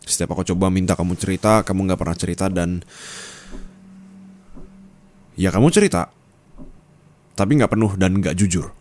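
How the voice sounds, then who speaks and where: A young man speaking an ASMR roleplay monologue as a disappointed boyfriend, low and intimate, scolding and at times rising to anger. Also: moderate pace, pauses often, darkish tone.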